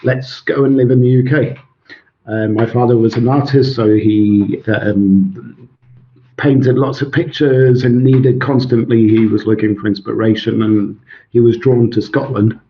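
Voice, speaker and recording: A man's voice: mid-range voice, fairly even intonation. Thoughtful Swedish-born man, dull audio. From Sweden. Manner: thoughtful, many pauses and fillers. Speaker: teacher and author, guest. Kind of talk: interview, casual talk.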